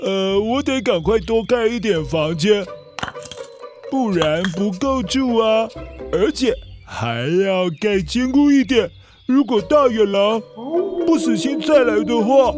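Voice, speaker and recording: A man's voice: very high voice, pitched above the performer's own voice. A man voicing a young round little pig from a fairy tale, earnest and hardworking, talking hesitantly as if laboring.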